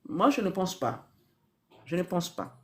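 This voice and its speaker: A woman's voice: fairly low pitch, big pitch swings, measured pace, darkish tone. Earnest woman giving spiritual advice. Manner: earnest, repeats key phrases, asks rhetorical questions. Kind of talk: live-stream spiritual advice.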